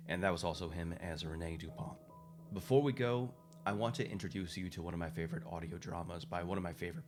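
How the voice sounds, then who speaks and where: A man with a deep voice presents a podcast. He reads a scripted outro, as the show's creator addressing listeners with credits and recommendations.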